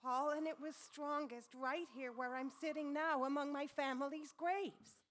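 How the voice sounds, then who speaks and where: Haunted young wife for vintage radio horror, played by a woman: high, fragile, hesitant. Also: fairly even intonation, normal speed, fairly bright tone. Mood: haunted, anxious. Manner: hesitant, breaking up her phrases dramatically. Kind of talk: 1940s radio drama acting.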